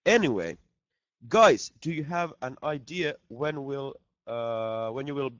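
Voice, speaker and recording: A man's voice: mid-range voice, expressive, unhurried, a bit bright, dry recording. Guy in a video chat, jokey, voice jumps up and down a lot. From Finland. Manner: playful, jokey, reads questions aloud. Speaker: band member reading out fan questions. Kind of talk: casual video chat with fans. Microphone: laptop video call mic. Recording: dull lo-fi video call audio.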